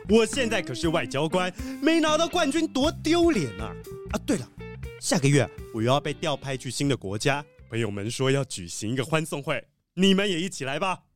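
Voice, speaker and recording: A man's voice: fairly high pitch, big pitch swings, fairly quick, faint music behind. A man playing a boastful, cheerful animal diplomat and sports champion in a children's detective audio drama, chatty and full of exclamations. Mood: cheerful, sociable.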